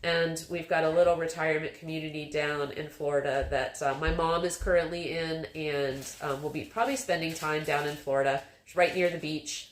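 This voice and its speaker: A woman in her fifties chatting casually as a livestream host, rambling with many fillers. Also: deep voice. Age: 50s. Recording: echoey room with background music.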